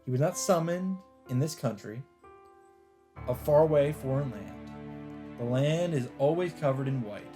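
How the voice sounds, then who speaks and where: A man reading a visual novel aloud as a let's player, earnest and expressive, mixing dramatic narration with casual commentary. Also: mid-range voice, moderate pace. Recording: background music under the voice. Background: game music.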